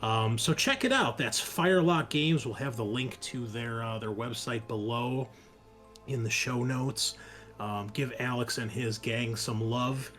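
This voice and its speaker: A man's voice: mid-range voice, unhurried, a bit bright, faint music behind. A man hosting a show, giving a chatty, informal introduction in a casual style. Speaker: podcast host.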